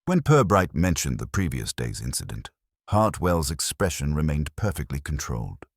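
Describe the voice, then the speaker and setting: Male narrator, very low and slightly husky, measured mystery storytelling, close dry sound. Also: very animated, no room sound. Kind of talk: scripted audiobook-style mystery narration.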